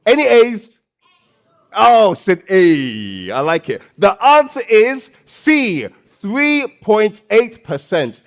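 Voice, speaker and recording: A man hosting a live stage event, loud and playful, coaxing the audience and often repeating phrases. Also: sits high, sing-song, slow pace. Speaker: event host and MC.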